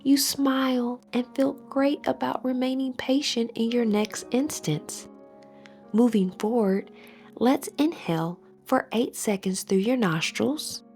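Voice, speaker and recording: A woman leading a scripted guided meditation as an instructor, in a soothing, measured, softly breathy delivery. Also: fairly high pitch. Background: soft background music.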